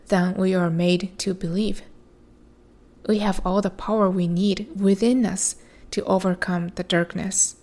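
A woman hosting her own podcast, speaking in a reflective monologue with a soothing, measured delivery. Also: mid-range voice. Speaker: podcast host.

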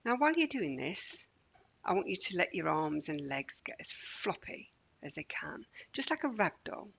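A woman leading a children's guided relaxation in a gentle, soothing, measured manner with deliberate pauses. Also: on the low side, sing-song, gravelly, slightly rough. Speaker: meditation guide.

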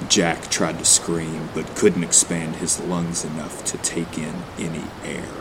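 A man's voice: a bass voice, monotone, measured pace, background noise. A man narrating a scripted horror story in an audio play.

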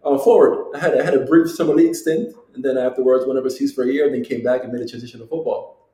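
Fast-talking mellow man in his thirties. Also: mid-range voice, steady tone, echoey room, low-quality recording. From Jamaica. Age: 30s. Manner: repetitive, peppered with "you know". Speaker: football player, interview guest. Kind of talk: casual interview talk.

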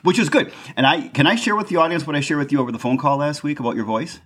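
A middle-aged man hosting a podcast, with a fairly loud voice, rambling and restarting his sentences. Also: fairly high pitch, rapid-fire. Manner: rambling, restarts often, chuckles. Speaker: podcast host. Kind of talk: casual interview talk.

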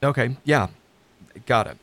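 A man's voice: mid-range voice, unhurried, creaky, a bit bright, dry recording. An actor playing a despairing but earnest man in therapy, speaking hesitantly and vulnerably in a scripted audio drama. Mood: doubtful, hesitant. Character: despairing, self-doubting, earnest male therapy client. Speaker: actor in a fiction podcast.

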